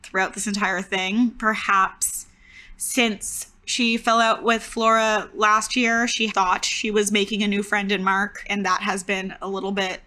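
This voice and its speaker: A woman's voice: medium pitch, level tone, a little slow, sharp tone. A woman casually discussing story ideas and rules as a player in a tabletop roleplaying game.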